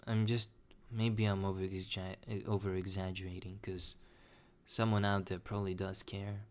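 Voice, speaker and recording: A young man talking slowly and hesitantly in a personal confessional monologue, with many restarts. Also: deep voice, level tone. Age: young adult. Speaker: vlogger.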